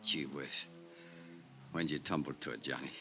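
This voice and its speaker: A man's voice: rumbling low, steady tone, normal speed, bright, forceful tone, soft background music, exaggerated voice. An actor playing a tipsy, resigned insurance man in a 1950s radio drama, mild and mumbling. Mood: subdued, uneasy. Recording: noisy 1950s radio recording.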